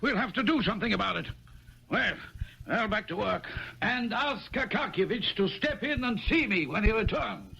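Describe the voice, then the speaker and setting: An actor playing a stuffy, imperious government director in a 1970s radio drama, pompous, blustering and brusque. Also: male voice, high-pitched, lively intonation, normal speed, gravelly. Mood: irritated, commanding. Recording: dull vintage 1970s radio broadcast.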